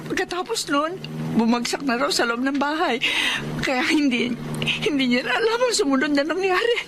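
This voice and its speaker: An actress playing a caring middle-aged wife who speaks earnestly and grows worried in a radio drama. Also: female voice, high-pitched, animated, normal speed, noisy background. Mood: anxious.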